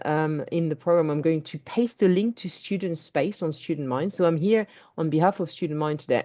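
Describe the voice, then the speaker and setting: A woman's voice: low-pitched, mellow. A woman speaking earnestly and encouragingly to a webinar audience, with some fillers. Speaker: webinar speaker for a student charity. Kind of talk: reflective talk. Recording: dull lo-fi video call.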